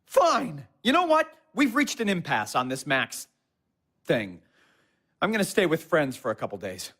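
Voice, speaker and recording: A man's voice: a little high, sing-song, unhurried, fairly bright tone, heavily acted. A man playing an earnest, sensitive young husband in a naturalistic audio drama, indignant and moralizing in manner.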